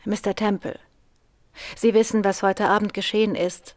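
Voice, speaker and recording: A woman's voice: mid-range voice, flat delivery, normal speed, natural voice. An actress playing a nervous, anxious woman seeking help in a radio drama, speaking hesitantly and earnestly.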